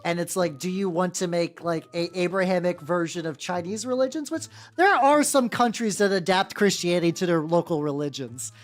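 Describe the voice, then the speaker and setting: A woman's voice: on the low side, normal speed, few pauses. A woman streaming casually as a VTuber, chatting loosely and swearing freely while she paints miniatures and answers her viewers. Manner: rambling, chatty and profane, reacting to chat. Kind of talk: casual livestream chat. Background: faint background music.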